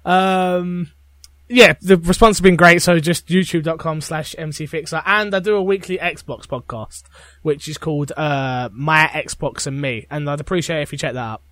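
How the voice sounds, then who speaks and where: A man talks casually as a podcast co-host. His voice sits a bit high and is recorded close and dry, with no room sound. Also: steady tone, normal speed, a bit bright, no echo. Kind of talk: casual group podcast chat.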